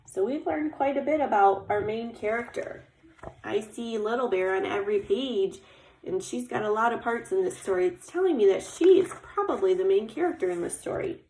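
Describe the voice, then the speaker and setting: A woman's voice: medium pitch, expressive, normal speed, dark tone, a little hiss or hum. A woman teacher reading a children's story aloud expressively and explaining it simply with questions. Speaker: kindergarten teacher. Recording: echoey room.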